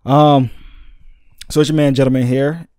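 A man hosting a podcast, talking in a laid-back, slangy way with plenty of halting fillers. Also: mid-range voice, level tone, a little mellow, no room sound, studio quality. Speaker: podcast host. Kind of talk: casual podcast chat.

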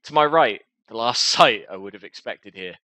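A young man streaming a game, reading its narration aloud in a headset and breaking off into quick casual asides. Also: on the low side, expressive, pushed and bright, dry recording. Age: young adult. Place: small room at home.

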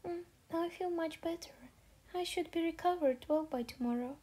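A woman's voice: very high voice, normal speed, dark tone. A woman voicing a young housekeeper girl, perky, indignant and scheming, in a manga dub. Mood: soft.

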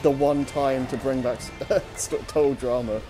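A man co-hosting a show, chatting casually and unscripted in a hesitant, halting way. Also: medium pitch, fairly even intonation, music in the background. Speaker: co-host of a story-reading show. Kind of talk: casual unscripted chat over gameplay.

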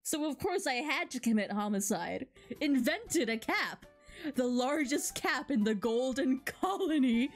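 A woman doing playful, excitable live game commentary and reading dialogue aloud with mock drama. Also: sits high, a little slow, faint music behind. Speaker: game streamer.

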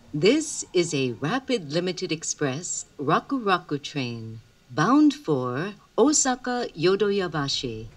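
A woman announces stops in a very slow, formal, carefully enunciated way. Her voice is fairly low, with a lively rise and fall. It comes through a train's speakers, picked up from a little distance. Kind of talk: scripted transit announcement. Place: train car, over public-address speakers. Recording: recorded off an in-car speaker, slightly distant.

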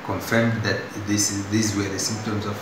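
Young Tanzanian man, lowish voice, unscripted patient testimonial, hesitant, recorded on a phone in a very echoey hospital room with noise behind. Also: little pitch movement. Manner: halting, with restarts and fillers.

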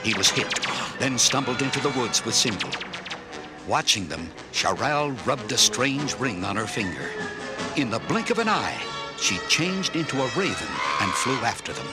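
A man narrates a children's storybook with dramatic flair. His mid-pitched voice moves animatedly over loud music. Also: very animated, moderate pace.

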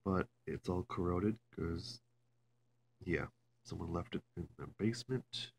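A man with a fairly deep voice talks off the cuff, as in a homemade video. He rambles and swears freely. The phone recording picks up a noticeable hum and noise behind him. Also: a little slow. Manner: foul-mouthed, rambling. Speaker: amateur video maker. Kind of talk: casual vlog chat. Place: carpeted room at home. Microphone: handheld phone camera.

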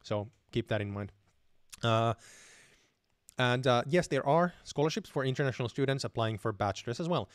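A young man talks through viewer questions on a live stream, relaxed and full of small hesitations. He sits close to a studio microphone in a dim room at home, so the sound is dry and direct. His pitch sits in the middle range. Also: moderate pace, dry recording. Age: young adult. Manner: relaxed, many fillers and restarts, reads chat questions aloud. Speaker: channel host answering viewer questions. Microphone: studio mic on boom arm close to mouth.

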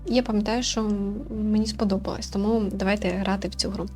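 A woman's voice: mid-range voice, little pitch movement, fast talker, a little mellow, soft background music. Woman casually commenting on a game. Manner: musing aloud, questioning. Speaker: gaming video host and commentator.

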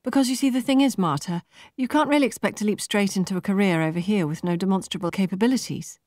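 A woman voicing a brisk, confident young female recruiter in an audiobook, chirpy, polished and patronising. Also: mid-range voice, a little mellow, no room sound, studio quality, pitched above the performer's own voice, lightly acted. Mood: condescending.

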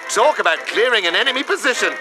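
Pompous army film narrator, very animated. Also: male voice, high-pitched, quick pace, over loud music, rough recording. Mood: brisk, mocking. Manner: crisp, dramatic, drily mocking. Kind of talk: parody wartime instructional film narration.

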